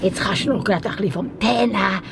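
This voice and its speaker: A woman's voice: mid-range voice, fast, background noise, lightly acted. An actress playing a mothering, slightly eerie old mountain woman with Swiss German speech in a radio play, coaxing and fussing. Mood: coaxing, motherly. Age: older. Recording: loud music behind the voice. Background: music.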